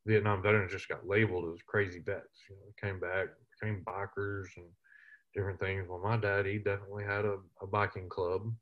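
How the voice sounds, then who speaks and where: Man, lighthearted storyteller, lowish voice, dull lo-fi sound. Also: level tone, fairly quick. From West Texas. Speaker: interview guest, military veteran. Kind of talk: storytelling in casual interview.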